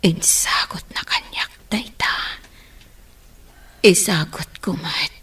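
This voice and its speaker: A woman playing a dying mother in an Ilocano radio drama, pleading weakly in halting, breathy gasps. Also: lowish voice, a bit bright, heavily acted. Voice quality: breathy, whispering. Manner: weak, halting, gasping delivery.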